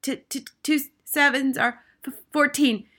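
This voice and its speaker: A woman's voice: high voice, fairly even intonation, normal speed, a bit bright, pitched above the performer's own voice. A woman voicing a small, nervous, foolish schoolboy in a story, answering anxiously and hastily. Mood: gasping, frightened.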